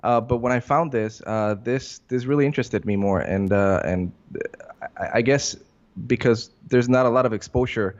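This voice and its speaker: A man answering interview questions as an air traffic controller, in a halting, hesitant way full of fillers, on a muffled recording. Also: mid-range voice. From Puerto Rico.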